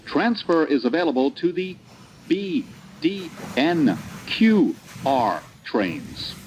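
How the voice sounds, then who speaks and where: A man's voice: fairly high pitch, very animated, takes it slowly, darkish tone, a little hiss or hum. A man delivering scripted, recorded transit announcements as a train announcer.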